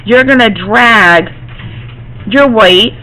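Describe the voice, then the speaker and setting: A woman art teacher, slightly hoarse from losing her voice, gives a classroom demonstration and often checks in with her students. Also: fairly high pitch, extremely slow, sharp tone, slight background noise, rough recording. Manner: reassuring, checks in with "okay?".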